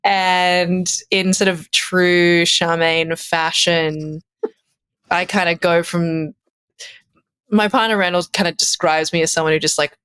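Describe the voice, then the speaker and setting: A woman's voice: fairly low pitch, a bit bright, clean tone, no room sound. A woman in her thirties with an Australian accent, chatting casually as a podcast guest and laughing often. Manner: chatty, laughs often, restarts mid-sentence. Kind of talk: casual conversation.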